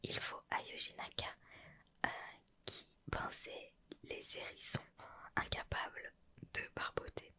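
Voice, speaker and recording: Whispering young woman reading softly. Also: measured pace, pauses often. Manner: soft whisper with chatty asides and self-corrections. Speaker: ASMR creator. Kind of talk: whispered ASMR roleplay reading a retro gaming magazine.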